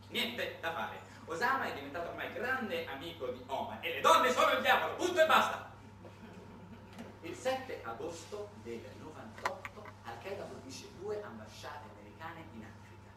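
Animated man storytelling in an echoey room. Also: high-pitched, normal speed, a crowd in the background. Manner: animated, conversational, dramatic swings in volume. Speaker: solo theatre performer and narrator. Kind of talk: theatrical monologue, satirical storytelling. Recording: very echoey, distant, noisy stage recording.

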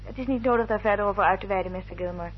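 An actress in a Dutch radio play, playing a reserved, dutiful English gentlewoman with a formal, restrained manner. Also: mid-range voice, rapid-fire, lightly acted. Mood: guarded. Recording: dull, noisy old radio drama recording.

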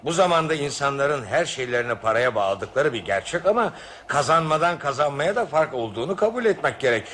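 A man's voice: medium pitch, animated, fairly quick, a bit bright, a little hiss or hum, lightly acted. An actor playing a talkative, self-righteous businessman in a radio drama, given to rhetorical questions. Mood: conversational, opinionated. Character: opinionated, self-righteous businessman travelling by night train.

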